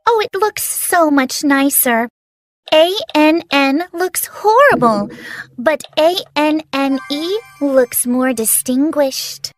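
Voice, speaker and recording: A woman voicing a spirited, dreamy young orphan girl with a chatty, dramatic manner in an animated children's story. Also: high voice, slow, faint music behind. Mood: earnest, hopeful.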